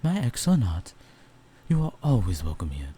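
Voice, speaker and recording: A man's voice: lowish voice, huge pitch swings, normal speed, warm tone, slightly rough, heavily acted. A man voicing a courteous, regal, ancient male host in an audio roleplay, speaking formally and graciously. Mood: calm, reassuring.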